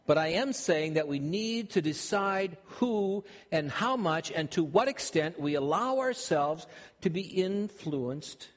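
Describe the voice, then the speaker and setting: An older man with a Minnesotan accent preaches in a conversational way, often starting a sentence over. His voice sits a little high for a man. A long reverb trails each phrase, as in a large hall. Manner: conversational, with frequent restarts. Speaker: pastor. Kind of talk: sermon.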